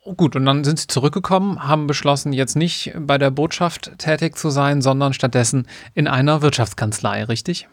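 A man's voice: mid-range voice, a little fast, hardly any pauses, no echo, clean studio sound. A man hosting a podcast, opening the episode and asking his guest polite, formal questions. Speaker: podcast host. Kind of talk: podcast interview.

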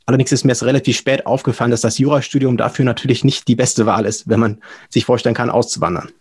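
Husky, creaky young German man. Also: medium pitch, steady tone, quick pace. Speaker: podcast guest, lawyer. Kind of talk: casual explanatory interview talk.

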